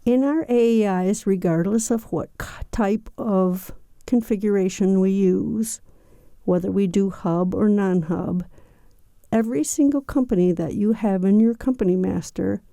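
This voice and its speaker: A woman giving an unscripted, step-by-step software walkthrough in a methodical, explanatory manner. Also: mid-range voice, measured pace, creaky, no room sound, clean studio sound. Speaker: software tutorial presenter.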